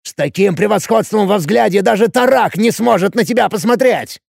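A man voicing an enraged, panicking fantasy nobleman who shouts and snarls his curses in an audiobook. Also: high voice, big pitch swings, normal speed, vocal fry, dry recording, clean studio sound, voice pushed up, full character voice. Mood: furious, alarmed.

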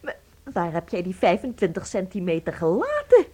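An actress playing an anxious, doting young wife in a radio play, melodramatic in manner. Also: female voice, fairly low pitch, wildly swooping pitch, normal speed, a little mellow, husky, full character voice. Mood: anxious, insistent. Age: young adult. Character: distraught, doting 27-year-old wife of a shrinking man.

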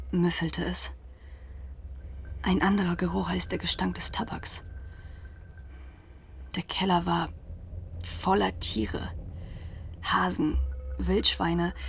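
A woman playing a haunted narrator, hushed and deliberate, often half-whispering, in a literary radio drama. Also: medium pitch, vocal fry, slight background noise.

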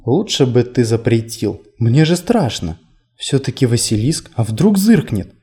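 A man's voice: mid-range voice, big pitch swings, a little slow, mellow. A man voicing a curious, timid woman visitor in audiobook dialogue, questioning and hesitant.

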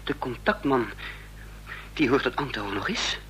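An actor playing a tense young man in hiding, speaking and narrating haltingly in a Dutch radio drama. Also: mid-range voice, expressive, fairly bright tone, natural voice. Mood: agitated. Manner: halting, deliberate. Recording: noisy, humming old radio recording.

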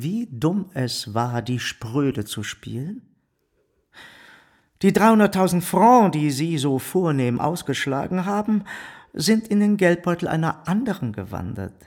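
A pompous, husky, high-pitched bourgeois suitor. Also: male voice, full character voice. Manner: pompous, patronizing, flattering. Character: smug, lecherous, condescending wealthy bourgeois suitor. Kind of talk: literary radio drama acting.

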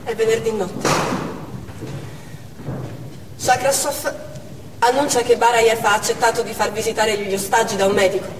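A woman's voice: fairly high pitch, fairly even intonation, moderate pace, long pauses, close to an everyday voice. An actress playing a brave, anxious woman doctor and mother in a dramatic stage monologue. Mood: tense. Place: on stage in a theatre. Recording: echoey theatre hall from far off, noisy.

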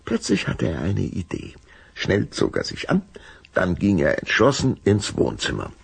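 Very deep, gravelly male storyteller. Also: huge pitch swings, measured pace, dry recording. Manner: dramatic, suspenseful. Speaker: narrator. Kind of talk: scripted children's story narration.

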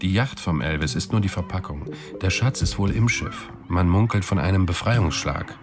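An actor playing a sly, knowing underworld informant with a florid, jovially sinister manner in a German radio drama. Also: male voice, sits low, moderate pace. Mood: conspiratorial. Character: sly, philosophising male underworld informant and false friend. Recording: music behind the voice. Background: music.

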